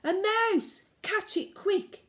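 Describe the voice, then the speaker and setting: A storybook kitchen cook, frantic and outraged, as acted out by a woman reader. He yells in exclamations, his voice pushed high and loud with alarm and fury. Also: takes it slowly, full character voice. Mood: alarmed yelling. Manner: yelling, exclamatory. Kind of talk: children's storybook reading.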